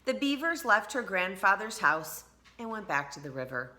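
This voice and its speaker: A woman teacher reading a storybook aloud to children, expressive and speaking to them directly, with drawn-out emphatic words. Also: mid-range voice.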